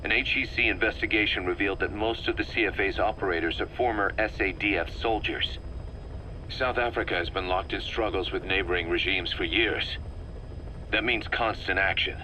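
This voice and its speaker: A hardened mercenary officer in a video game, with a low, forceful voice. He briefs his commander in a deliberate, emphatic military manner, wry and intense, over faint background noise. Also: rough recording. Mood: businesslike, warning.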